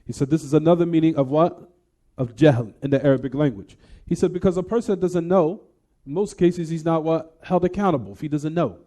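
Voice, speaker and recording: A man's voice: a little high, fairly quick, mellow. A man giving a religious lecture, explaining a text in a didactic way and posing rhetorical questions to his listeners.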